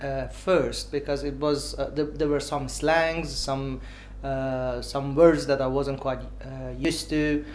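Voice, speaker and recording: A man in his forties with an Iranian accent answering interview questions, pausing often on filler sounds. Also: mid-range voice, little pitch movement, a little hiss or hum. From Iran. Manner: hesitant, many filled pauses. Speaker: dentist interviewee.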